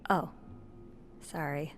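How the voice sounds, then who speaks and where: A woman's voice: drawn-out words, a bit bright, faint music behind. A woman playing a shy, awkward, earnest young hotel maid in a video game, speaking hesitantly. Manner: hesitant, stammering. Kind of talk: naturalistic video game acting.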